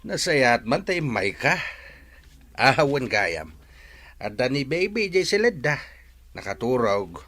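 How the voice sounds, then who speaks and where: A man's voice: mid-range voice, sing-song, takes it slowly, long pauses, fairly bright tone, heavily acted. A man with an Ilocano accent playing a hospitable, pious older father in a drama. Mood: welcoming. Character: hospitable, pious old father, gently advising. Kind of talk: Ilocano comedy drama voice acting.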